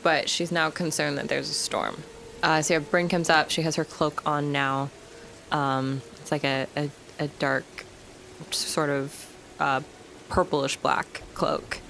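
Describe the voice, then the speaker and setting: A woman's voice: deep voice, level tone, takes it slowly, creaky, fairly bright tone, a little hiss or hum, poor audio. A woman chatting casually as a player in a tabletop role-playing game.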